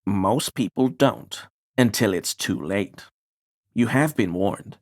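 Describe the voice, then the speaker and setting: A man's voice: fairly low pitch, slow, no echo. Sneaky paranoid game npc, smug but grovelling, takes his time, super dry sound, conspiratorial. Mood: conspiratorial, earnest. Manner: conspiratorial, obsequious, evasive. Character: paranoid, furtive cryptofascist pie-delivery man, deferential yet smug. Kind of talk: video game character dialogue.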